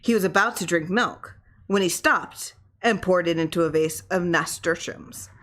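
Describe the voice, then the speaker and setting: A woman with a slightly low voice narrates a horror short story. She reads from the text as an audiobook reader, telling it in scripted prose. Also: moderate pace, a bit bright.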